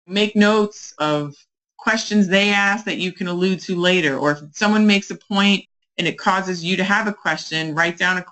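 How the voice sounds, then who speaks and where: A woman with a slightly low-pitched voice speaks as a podcast coach. She gives practical instruction in a solo advice format. Her delivery is emphatic, often restating key phrases to drive them home. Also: normal speed, a bit bright, low-quality recording. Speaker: career coach podcast host.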